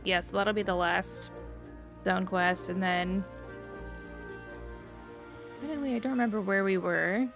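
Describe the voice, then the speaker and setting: A woman streams a game and chats to her viewers in a loose, rambling way. Her medium-pitched voice has clear vocal fry, and faint music plays behind her. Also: steady tone, measured pace, long pauses, a bit bright. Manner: informal, rambling. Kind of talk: casual livestream chat over gameplay.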